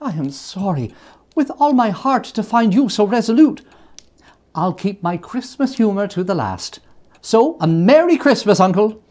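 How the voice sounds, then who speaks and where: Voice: medium pitch, sing-song, moderate pace, few pauses, breathy edge. A reader doing an eager, cheerful young nephew. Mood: earnest. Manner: bright, eager, coaxing delivery. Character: cheerful young man, warm-hearted and pleading. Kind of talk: storybook reading.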